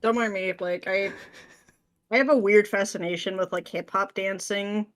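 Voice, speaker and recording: A woman's voice: medium pitch, fairly even intonation, moderate pace. A woman chatting casually as a podcast guest, with a playful, jokey and slangy way of talking. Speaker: podcast guest, script writer. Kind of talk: casual chat.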